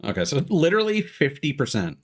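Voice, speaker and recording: A man's voice: a little high, wildly swooping pitch, a little fast. A man streaming a video game, chatting informally, thinking aloud and reading on-screen text. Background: game music and sound effects.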